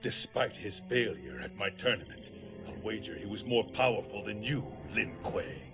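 A man's voice: lowish voice, animated, normal speed, loud music behind the voice. An actor playing a smug, taunting male sorcerer villain in a video game cutscene.

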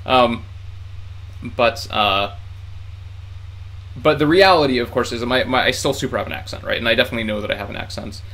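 A man's voice: mid-range voice, fairly quick, pauses often, fairly bright tone, poor audio. A man in his thirties chatting casually to camera in a small room, rambling with lots of fillers and restarts. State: tired from heat. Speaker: vlogger learning Japanese.

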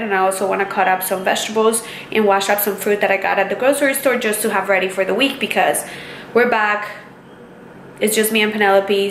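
A young woman and mother chatting casually to the camera in her home kitchen, relaxed and a little rambling. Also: medium pitch, a little hiss or hum. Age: young adult. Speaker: vlogger, mom.

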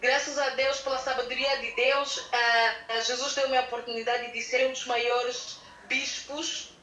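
Bright, high-voiced woman interpreting. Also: little pitch movement, a little hiss or hum. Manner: halting, searching for words. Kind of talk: consecutive interpreting. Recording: echoey room sound.

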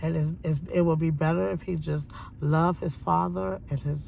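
A woman in her forties talking at length from a parked car, meandering with many ums and pauses. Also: deep voice, fairly even intonation, creaky, darkish tone, dull audio, a little hiss or hum. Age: 40s. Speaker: interviewee. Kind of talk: rambling personal monologue. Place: parked car by a window, daytime.

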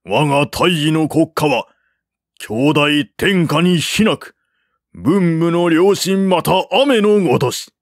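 A man's voice: a little high, expressive, slow pace, no echo, clean studio sound, voice pushed up. A man voicing an earnest court minister who delivers lofty speeches in a grand, emphatic audiobook style. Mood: impassioned, solemn.

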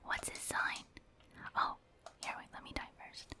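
Whispering woman doing gaming ASMR. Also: unhurried. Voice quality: soft unvoiced whisper. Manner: casual, slangy, reactive muttering to viewers. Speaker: streamer, gaming ASMR creator. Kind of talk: whispered ASMR gameplay commentary.

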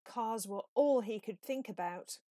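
Unhurried woman reading a children's story. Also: fairly high pitch, no room sound, studio quality. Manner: measured, expressive read-aloud. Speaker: storybook reader. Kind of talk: scripted children's story narration.

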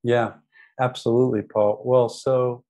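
A man's voice: mid-range voice, level tone, a little slow, mellow. An American man from the United States, a podcast guest who talks casually with many ums and restarts. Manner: friendly, frequent ums and restarts. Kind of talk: casual interview talk.

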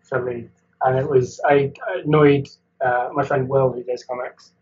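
A man's voice: medium pitch, level tone, a little slow, darkish tone, dull audio. A man from Wales, chatting as a guest artist over a video call, rambling and hesitant with frequent laughs. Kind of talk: casual chat.

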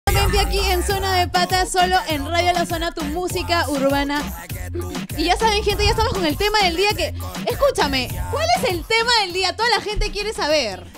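Young Peruvian female radio host, high voice, playful casual chat over a music bed. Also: brisk. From Peru. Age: young adult. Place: small radio studio. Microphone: studio microphone on a boom arm. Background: music playing.

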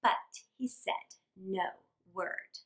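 A middle-aged woman reading a children's story aloud dramatically, with expressive, drawn-out emphasis. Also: medium pitch. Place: small indoor room. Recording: roomy indoor echo.

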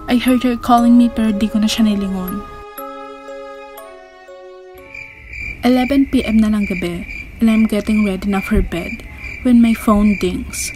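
A woman's voice: mid-range voice, steady tone, a little fast, over music, close to an everyday voice. A woman with a Filipino accent playing a resentful, anxious young narrator in an amateur horror audio drama. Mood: fed up.

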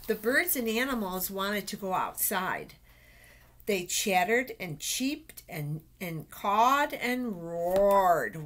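An older woman reads a picture book aloud to small children at an unhurried pace. Her pitch is average, and she often exclaims and stretches out words as she points things out. It is a webcam recording in a small office, with slight background noise. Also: fairly bright tone. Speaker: storytime reader for children. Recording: noisy webcam recording with clicks.

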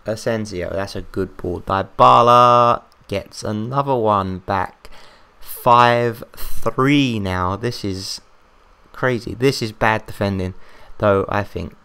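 An English man calls a football management game like a match, excitable and rambling as he talks to himself. His voice sits low and comes through smooth and clear, though it is wearing thin. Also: slow. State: losing his voice, coughing. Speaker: game commentator.

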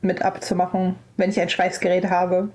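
A woman's voice: on the low side, monotone, moderate pace, a little mellow. A woman chatting casually as a player in a tabletop role-playing game, saying what her character tries.

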